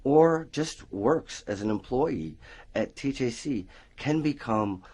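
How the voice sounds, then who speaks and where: A man, a college speech professor, explaining a program in an interview with animated enthusiasm. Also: mid-range voice, slow, husky. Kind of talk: interview answers.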